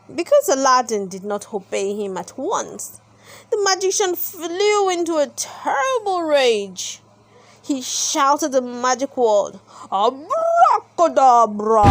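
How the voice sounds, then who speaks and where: A woman with a high voice reads a children's storybook aloud. Her pitch moves up and down in a lively way, and she takes her time, placing deliberate, dramatic emphasis on the words. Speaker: storybook reader and channel host. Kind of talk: expressive children's read-aloud narration.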